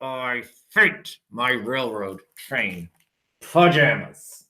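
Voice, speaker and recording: A male teacher reads a children's picture book aloud. His voice is bright and crisp, at a middling pitch. He stretches out and stresses the repeated phrases for dramatic effect. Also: a little hiss or hum. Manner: expressive, drawn-out emphasis on repeated phrases.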